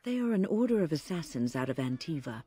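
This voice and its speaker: An actress playing a composed, serious female companion in a fantasy video game, husky and matter-of-fact. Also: low voice, big pitch swings, fast, mellow, no echo, heavily acted. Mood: grave, informative.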